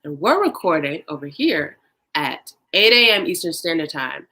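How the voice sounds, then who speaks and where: A bright, clear-toned woman hosts a casual livestreamed podcast. She talks in an upbeat, chatty way and stretches out words for emphasis. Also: low voice, very animated, normal speed. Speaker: podcast host.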